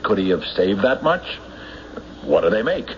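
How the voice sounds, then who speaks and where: An actor playing a suspicious American sheriff in a radio mystery, questioning deliberately with measured pauses. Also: male voice, low voice, big pitch swings. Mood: probing, suspicious. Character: suspicious, probing male county sheriff. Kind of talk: radio mystery drama acting. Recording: muffled, hissy old radio recording.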